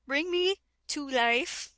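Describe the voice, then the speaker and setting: Young woman, comedic reader, mock-dramatic, unhurried, bright and high. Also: dry recording. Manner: giggly, breaks into laughter mid-sentence; mock-dramatic, interrupts herself with asides. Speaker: reader of a parody fanfic.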